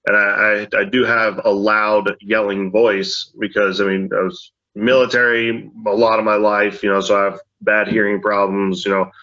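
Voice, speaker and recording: A middle-aged father on a parenting podcast, talking loudly in a rambling, casual way with a mildly deep voice, often saying "you know what I mean.". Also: little pitch movement, moderate pace. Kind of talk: casual chat. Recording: dull lo-fi audio.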